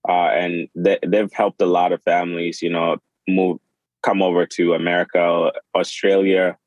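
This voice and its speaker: A man in his twenties, born in Sudan and raised in Kenya, speaking as a podcast guest in a halting, casual way with many fillers and restarts. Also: sits low, flat delivery, dry recording. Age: 20s. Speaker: podcast guest, clothing designer. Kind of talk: casual interview.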